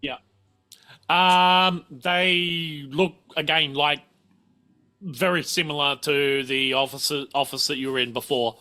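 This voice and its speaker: A man's voice: fairly high pitch, fairly even intonation, takes it slowly, bright, forceful tone, smooth. A man running a tabletop game as game master, explaining and thinking aloud as he improvises.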